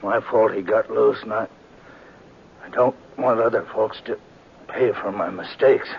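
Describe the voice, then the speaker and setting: Wounded aging sheriff, weak and halting, low gravelly tone, dull vintage radio sound. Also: male voice. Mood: pleading. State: injured, weak. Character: wounded older male frontier sheriff, earnest. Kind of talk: 1950s radio drama acting. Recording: dull old radio recording with faint hiss.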